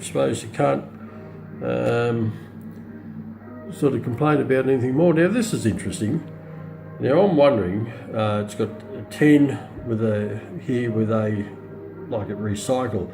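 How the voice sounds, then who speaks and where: A middle-aged man with an Australian accent giving a casual, unscripted product demonstration, pausing often as he goes. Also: mid-range voice, gravelly, over music. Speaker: hobbyist radio reviewer. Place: indoors on a hard countertop, small room. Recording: roomy echo, faint background noise.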